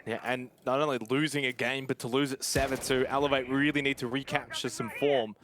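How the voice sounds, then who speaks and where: A man casting a live esports match, calling the action in quick play-by-play that jumps up to shouts in big moments. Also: medium pitch, level tone, a little hiss or hum.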